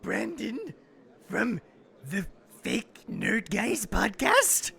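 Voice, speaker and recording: A man playing an excitable, boastful, slightly unhinged athlete in a comedy audio drama, raspy and effusive. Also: high voice, drawn-out words, heavily acted. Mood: excited, rambling.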